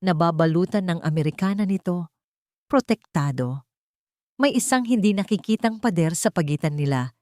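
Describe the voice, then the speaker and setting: A woman narrates a scripted melodrama. Her voice sits on the low side, and she tells it deliberately, with solemn suspense. The recording is dry, with hardly any room around it. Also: lively intonation, darkish tone, dry recording. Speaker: story narrator. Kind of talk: dramatic scripted story narration.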